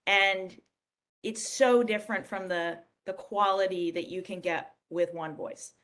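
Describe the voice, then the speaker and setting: A woman presents a software demo with a medium-pitched voice. Her delivery is conversational and explanatory, like someone casually guiding viewers through a shared screen. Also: fairly even intonation, a little slow.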